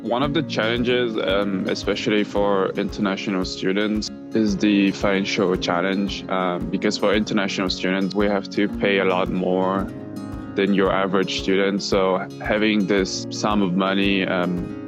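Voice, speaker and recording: A man's voice: low voice, flat delivery, normal speed. A man from Vietnam, an international student, giving a casual, hesitant testimonial. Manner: hesitant with fillers. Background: guitar music.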